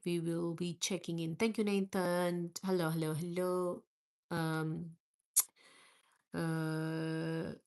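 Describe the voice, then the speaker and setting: A woman's voice: fairly low pitch, creaky. A middle-aged woman from India hosting a live stream, chatting with viewers and answering their comments. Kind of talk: casual live-stream chat.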